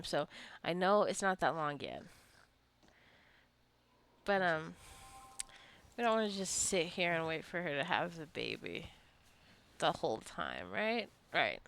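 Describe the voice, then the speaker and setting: A woman's voice: fairly low pitch, lively intonation, unhurried, creaky, a bit bright. Chatty woman doing casual gameplay commentary. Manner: playfully scolding, talks to the game characters. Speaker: gamer narrating her own gameplay video. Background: life simulation game being played.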